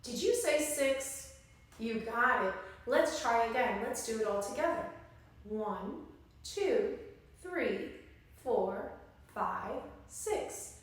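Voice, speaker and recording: High-voiced woman teaching in an echoey room. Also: animated, slow. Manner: encouraging, instructive, prompting listeners to respond. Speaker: early-grade classroom teacher leading circle time. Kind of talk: interactive children's lesson with questions, counting and pauses. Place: indoor room with strong echo. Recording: very echoey room, distant microphone.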